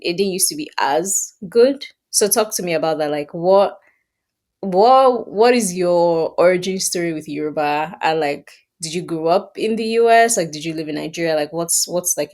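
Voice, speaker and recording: A woman's voice: lowish voice, normal speed, a little mellow. A woman hosting a podcast, asking curious, playful questions in a casual chat. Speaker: podcast host. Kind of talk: casual conversational interview.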